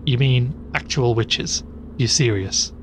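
A man's voice: mid-range voice, slow, close to an everyday voice. A man playing a sceptical, curious interviewer in an audio drama, questioning a strange tale with some disbelief. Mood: incredulous. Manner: questioning, incredulous. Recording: clearly noisy recording.

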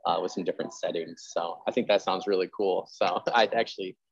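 A man's voice: low-pitched, fairly even intonation, brisk, slightly rough, dry recording, muffled recording. A man hosting a casual interview about genre fiction, talking in a rambling way full of filler phrases. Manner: rambling, peppered with "like" and "you know". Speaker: interviewer and host; history teacher.

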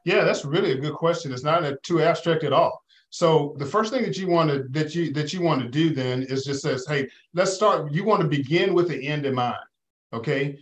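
High-voiced man giving financial advice. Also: normal speed. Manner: preacherly, asks rhetorical questions, checks in with "okay?" and "right?". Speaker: financial advisor guest. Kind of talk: call-in advice talk.

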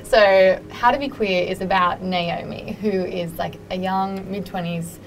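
A young woman answers interview questions in a relaxed, chatty way, full of fillers and little self-deprecating asides. Her voice sits low and has a gravelly edge. Music plays clearly behind her. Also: poor audio.

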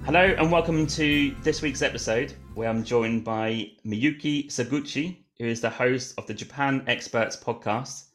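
A man hosting a podcast, reading an upbeat introduction and then asking casual interview questions. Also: medium pitch. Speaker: podcast host.

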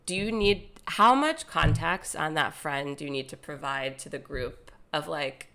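A woman from New Jersey, chatting as a podcast guest, chatty and quick to laugh. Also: low-pitched, sharp tone, clear, resonant tone. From New Jersey, USA. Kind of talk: casual chat.

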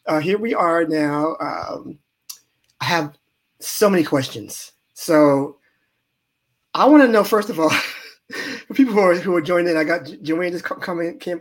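A man's voice: on the high side, normal speed. A man hosting an interview podcast, asking rambling questions in a playful, joking way. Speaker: podcast host.

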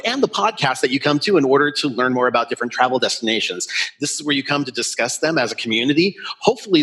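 A man's voice: medium pitch, sharp tone, slightly rough. A man hosts a travel podcast in an upbeat presenter manner, introducing a guest and reading out where to find him. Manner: upbeat presenter, reads out plugs. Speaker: podcast host. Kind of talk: podcast hosting. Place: Orange County, California.

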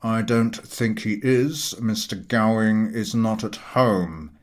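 A man voicing a rude, surly householder in a comic audiobook, speaking gruffly with indignant emphasis. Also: lowish voice, slow pace. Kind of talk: audiobook character voice, comic Victorian novel.

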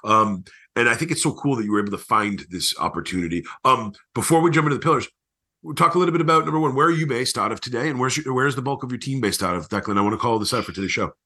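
Fast, bright, husky male podcast host. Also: mid-range voice. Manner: effusive, informal. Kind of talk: casual interview chat.

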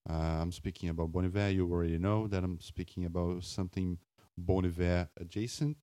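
A man hosting a solo podcast off the cuff in a raspy, just-woken voice, halting and searching for words with wry self-deprecation. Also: low voice, fairly even intonation, warm tone, no echo. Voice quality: raspy morning voice. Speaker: podcast host.

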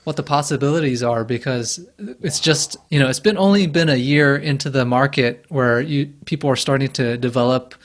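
A man speaking as a guest in a casual podcast interview over a video call, measured and thoughtful, with a fairly even voice. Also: mid-range voice. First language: Japanese alongside English.

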